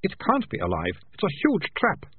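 Bossy scornful girl, high and husky, as a male narrator puts her on, tinny dry recording. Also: wildly swooping pitch, normal speed, no room sound, full character voice. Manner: scornful, indignant. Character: sharp-tongued, bossy young girl.

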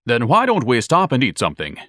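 A man's voice: medium pitch, wildly swooping pitch, fairly quick, sharp tone, no echo, clean studio sound, pitched above the performer's own voice, exaggerated voice. A man voicing a pompous, overeducated grown son with a grandiloquent, theatrical manner and formal diction. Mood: aggrieved, lofty.

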